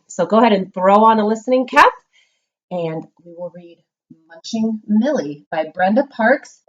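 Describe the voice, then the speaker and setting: A woman teacher giving a read-aloud lesson to young children, explaining simply and reading with expressive emphasis. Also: medium pitch, highs cut off. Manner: instructional, simple explanations with check-ins. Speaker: primary school reading teacher.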